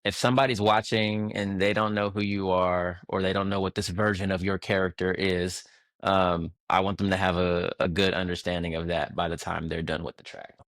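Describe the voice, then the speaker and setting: Male guest with a very low voice, easygoing and chuckly, dry close mic. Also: moderate pace, no room sound. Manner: relaxed, laughs often, many fillers and restarts. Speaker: guest music producer. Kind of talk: casual interview chat.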